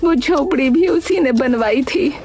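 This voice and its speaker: A woman's voice: high voice, expressive, unhurried, darkish tone. A woman playing a poor, meek old village widow in a cartoon story, hesitant and pleading. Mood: distressed, pleading. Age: older. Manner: hesitant, pleading, stammers when upset. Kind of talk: Hindi cartoon story acting.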